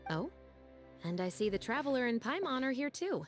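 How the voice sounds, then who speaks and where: A woman voicing a dry, by-the-book female patrol captain in a video game, wry and measured in manner. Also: sits high, sing-song. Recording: game audio with faint music. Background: soft game music.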